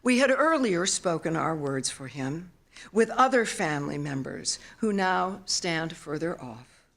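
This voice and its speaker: A mature woman reading a literary memoir at a live event in an expressive, solemn storytelling manner. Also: fairly low pitch, measured pace. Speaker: author reading own work. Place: theater stage, live reading.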